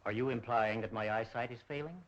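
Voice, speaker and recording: Actor as a fast-talking polished businessman. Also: medium pitch, close to an everyday voice. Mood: defensive, curt. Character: defensive, brisk businessman, curt yet socially polished. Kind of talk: 1930s film acting. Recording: muffled 1930s film soundtrack.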